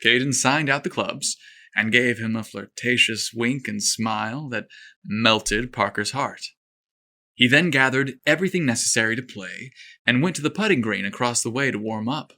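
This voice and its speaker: A man narrating a scripted story in a lively, expressive way. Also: medium pitch, moderate pace.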